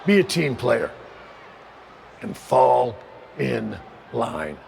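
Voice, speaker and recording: A domineering faction leader from a wrestling video game lays down orders in an unhurried, gravelly voice. He talks down to his teammate with cold, measured condescension. A faint hiss of noise sits behind him. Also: mid-range voice, sing-song, a little mellow, full character voice. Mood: menacing.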